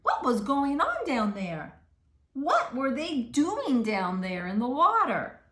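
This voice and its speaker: A woman's voice: sits high, wildly swooping pitch, takes it slowly, sharp tone, echoey room. A woman reading a picture book aloud to children, expressively, with questions and asides to her young listeners.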